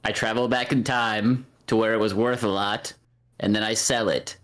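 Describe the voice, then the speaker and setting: A medium-pitched voice with a deadpan delivery. The speaker is a player casually improvising comedy in a tabletop game over voice chat. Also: normal speed.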